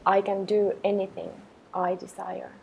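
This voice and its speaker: A woman leading a guided meditation with affirmations, speaking in a calm, gentle, measured way with long pauses. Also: mid-range voice, creaky, muffled recording, slight background noise.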